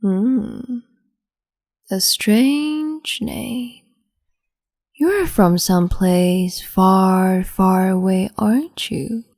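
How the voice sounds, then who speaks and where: A woman voicing a cruel, capricious empress in an ASMR roleplay, speaking slowly and mockingly and slipping into whispers. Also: mid-range voice, a little mellow, no echo, studio quality. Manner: slow, mocking, condescending, drifts into whispers.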